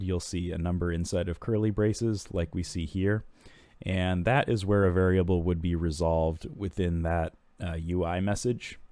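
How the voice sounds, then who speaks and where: Low, dark-voiced man explaining software. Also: dry recording. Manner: unhurried, explanatory, frequent ums and restarts. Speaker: software product walkthrough presenter. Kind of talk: screen-recorded software tutorial.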